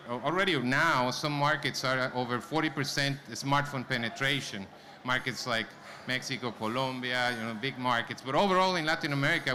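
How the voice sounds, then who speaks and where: A man presents at a conference in an informal way, addressing the audience directly. His voice is bright and forceful, at a middling pitch. Faint noise from the event space sits behind him. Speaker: conference presenter, company vice president. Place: conference stage before an audience. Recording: clear background noise, live event room.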